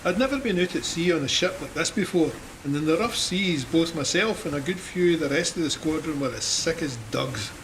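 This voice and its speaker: A male actor as a Scottish cavalryman narrates his war in an audio drama. His voice sits a little high, with a wry, plain-spoken storytelling manner. There is faint noise behind him. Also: a little fast, hardly any pauses, fairly bright tone, natural voice, poor audio. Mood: wry, reflective. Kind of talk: dramatised first-person memoir narration.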